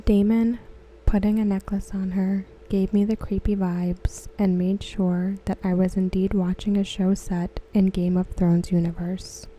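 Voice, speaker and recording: Soft breathy asmr lady reading stuff out, super mellow and even, half whispering, bit of background hiss. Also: mid-range voice, poor audio. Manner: gentle, hushed, unhurried. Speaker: ASMR host. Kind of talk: ASMR, soft-spoken reading of discussion comments.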